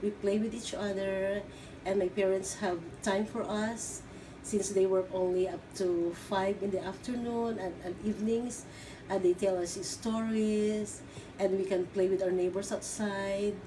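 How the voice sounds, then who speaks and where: A middle-aged woman from the Philippines, answering oral history questions in a halting way with many fillers and restarts. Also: medium pitch, fairly even intonation. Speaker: interviewee. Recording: distant mic with background noise.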